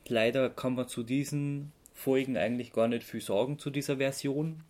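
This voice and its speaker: A man with an Austrian accent co-hosting a podcast, explaining things casually in a somewhat halting way. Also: mid-range voice, fairly even intonation, a little mellow. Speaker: podcast co-host.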